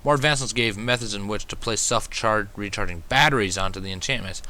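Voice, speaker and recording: A man's voice: fairly low pitch, a little fast, sharp tone. A man narrating a first-person fan fiction story, reading expressively as an amateur.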